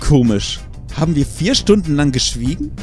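A man's voice: a little high, expressive, moderate pace, a little mellow, soft background music, natural voice. A man playing a sarcastic young camping buddy with a wry, colloquial delivery in a comedic audio drama. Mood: playful.

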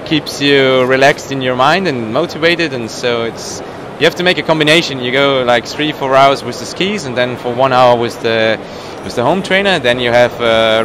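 A man of about thirty, not a native English speaker, giving a casual interview as a professional cyclist, searching for his words. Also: mid-range voice. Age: around 30. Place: indoor trade show booth. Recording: noisy trade show hall, background ambience.

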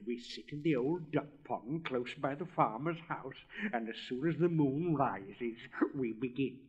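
A man's voice: medium pitch, a little slow, husky. A man voicing a chatty, complacent little male frog in a storybook reading, gushing and giggling at his own words. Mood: smug, chatty. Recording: dull lo-fi recording.

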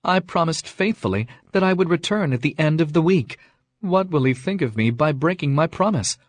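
A man voicing Beauty, a tender-hearted young woman, in a storybook reading, with a high anguished delivery. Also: animated, normal speed, dry recording. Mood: remorseful.

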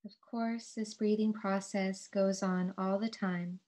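A woman yoga teacher leading a guided relaxation in a calm, soothing, measured way, with long pauses between phrases. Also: mid-range voice.

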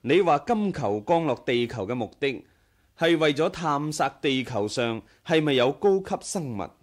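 An actor with a Hong Kong Cantonese accent playing a dignified, devout Buddhist leader in a measured, formal 1980s radio drama style. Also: male voice, fairly high pitch, animated. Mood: earnest, devout.

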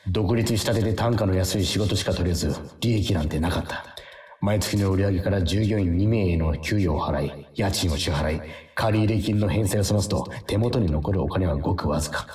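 A man's voice: low-pitched, a little fast, a little mellow, echoey room, recorded from a distance, close to an everyday voice. An actor playing a middle-aged factory boss narrating his hard early years in a reflective radio drama.

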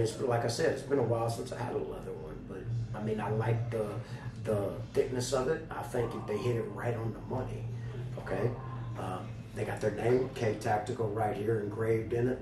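A slightly hoarse man giving a casual, folksy product review straight to his viewers. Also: on the low side. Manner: folksy, colloquial, addressing viewers directly. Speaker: product reviewer, channel host. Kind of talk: casual chatty holster video review. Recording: roomy, distant mic with loud background noise.

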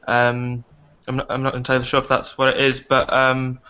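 A man's voice: mid-range voice, completely flat delivery, a little fast, sharp tone, clean tone. A young man, a performer and writer, talking hesitantly with many fillers and restarts in a casual panel discussion over a video call from a small dim room. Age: young adult. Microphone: laptop webcam video call. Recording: muffled video call audio.

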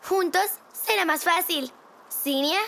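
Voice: very high voice, slow pace, gravelly, sharp tone, exaggerated voice. A performer voicing a sweet, cooperative little zebra child in a children's cartoon, friendly in manner. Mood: encouraging.

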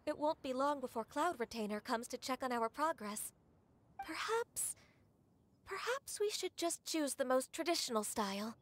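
A woman voicing a shy, gentle young half-beast maiden in an anime game, polite, timid and hesitant. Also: sits high, lively intonation, moderate pace. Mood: tentative.

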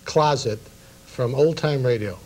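A man's voice: medium pitch, measured pace, a little mellow, slight background noise, low-quality recording. A middle-aged American man hosting a casual interview chat in a TV studio. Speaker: talk show host. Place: TV studio at a round table. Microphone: lapel.

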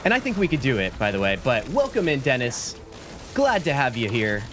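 A man in his thirties streaming a game from a small home room on a desk mic, chatting casually and rambling, his voice a little deeper from being sick. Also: wildly swooping pitch, fairly quick, fairly bright tone, slight background noise. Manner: laid-back, rambling, says "like" and "dude" a lot. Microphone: studio mic at desk, webcam framing. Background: game music.

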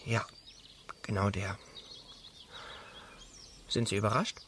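A man's voice: lowish voice, fairly even intonation, slow, a bit bright. An actor playing a nervous, earnest younger man in a radio drama, hesitant and stumbling over his words. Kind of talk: German radio drama acting. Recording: faint outdoor noise with birds.

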